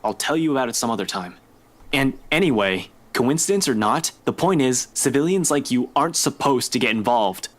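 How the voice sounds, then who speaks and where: A man playing a gruff, skeptical police officer in a visual novel, curt and dismissive. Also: medium pitch, expressive, brisk, natural voice. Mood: stern.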